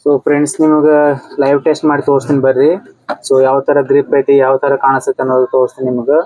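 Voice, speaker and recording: A man's voice: mid-range voice, monotone, fast talker, warm tone, dry recording, low-quality recording. A man with a North Karnataka accent giving a casual product unboxing and review, in a repetitive way with short exclamations. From Karnataka, India.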